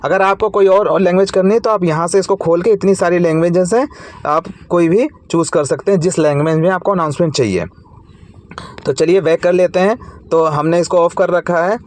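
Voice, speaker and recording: A man's voice: sits high, fairly even intonation, brisk, a little mellow, dry recording. An Indian man hosting an informal tech tutorial, explaining each step in a repetitive way.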